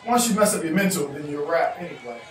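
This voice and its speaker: A man in his thirties talking straight to camera, answering viewer emails with animated gestures and repeating phrases for emphasis. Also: on the high side, fast, a little hiss or hum. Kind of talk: casual advice talk to camera. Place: indoor room in front of a chalkboard backdrop. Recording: echoey room, voice a bit far off, faint music.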